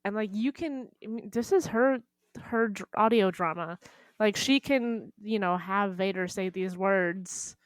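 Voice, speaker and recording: A woman's voice: mid-range voice, unhurried, no echo. A woman podcast co-host chats casually in a mid-range voice. Her manner is informal and conversational, and the recording is dry with no room sound. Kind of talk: casual chat.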